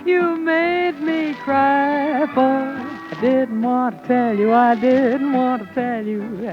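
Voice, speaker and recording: A woman on a 1940s radio variety show, chatting and singing slow, drawn-out ballads, on a muffled old broadcast. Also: high-pitched, fairly even intonation, music in the background.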